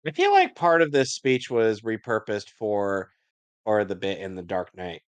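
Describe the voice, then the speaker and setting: A man's voice: lowish voice, big pitch swings, unhurried, no room sound. A man co-hosting a show, giving casual, joking commentary on a cartoon.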